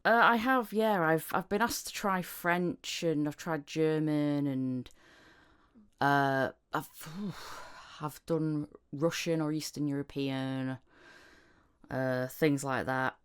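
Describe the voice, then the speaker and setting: Low-voiced Yorkshire-tinged woman host. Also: fairly even intonation, slow pace, smooth. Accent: Northern English, Yorkshire-tinged. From Northern England. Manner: chatty, rambling with many restarts, laughs often. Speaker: podcast host. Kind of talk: casual chat.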